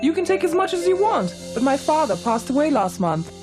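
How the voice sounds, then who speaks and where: A woman acts out a generous, bereaved village woman in a cartoon. Her high voice moves with lively intonation over clear music. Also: brisk. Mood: surprised, regretful. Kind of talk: cartoon voice acting.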